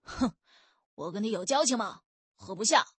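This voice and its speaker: A woman voicing a belligerent, burly young male gang leader in an audiobook, blunt and overbearing, with a husky voice. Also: medium pitch, lively intonation, moderate pace, fairly bright tone, no echo. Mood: mocking, defiant.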